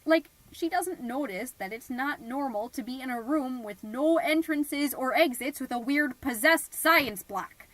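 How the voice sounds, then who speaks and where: A woman's voice: sits high, unhurried, few pauses, no room sound, rough recording. A woman playing a chatty, fussy middle-aged suburban mom hosting an amateur podcast, folksy and passive-aggressive in a scripted comedic monologue. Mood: disapproving, exasperated. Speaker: podcast host.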